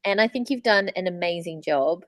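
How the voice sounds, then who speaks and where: A woman's voice: on the low side, moderate pace, no echo. A woman co-hosting a casual podcast, explaining things at length with enthusiasm. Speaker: podcast co-host, voice actor.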